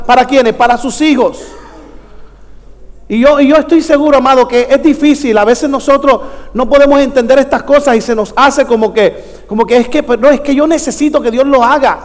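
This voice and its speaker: A male preacher with a very high-pitched voice delivers a sermon in a hall with long reverb. He exhorts the congregation, repeats key phrases for emphasis and keeps prompting them with questions. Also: normal speed.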